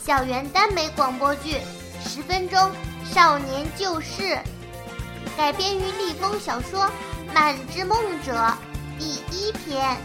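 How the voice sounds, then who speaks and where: A woman's voice: high-pitched, slow pace, over music. A woman voicing a sweet, childlike announcer who reads out the titles of an audio drama. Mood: sweet, measured.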